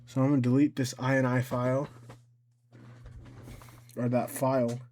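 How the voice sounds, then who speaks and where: A man giving a casual, unscripted software tutorial, thinking aloud as he goes, haltingly repeating and correcting himself. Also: medium pitch, level tone. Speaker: tutorial host.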